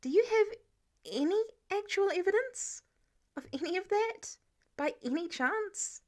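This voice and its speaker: A woman's voice: extremely high pitch, animated, unhurried, darkish tone, voice pushed up. A woman voicing a polite, nervous young man who feigns innocence and hesitates as he talks, in an audiobook. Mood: careful, evasive. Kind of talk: audiobook character dialogue.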